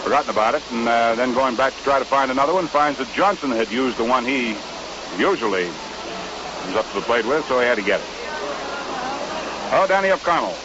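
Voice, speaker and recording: A man's voice: mid-range voice, lively intonation, a little fast, pauses often, noisy background. An American man calling baseball play-by-play in a folksy 1950s radio commentary style, on a muffled old broadcast recording. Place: ballpark broadcast booth.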